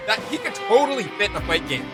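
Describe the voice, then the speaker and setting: Excitable guy doing reaction chat, slangy, highish voice, music going behind him. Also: fast. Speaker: reaction video host. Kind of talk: casual chat, reaction commentary.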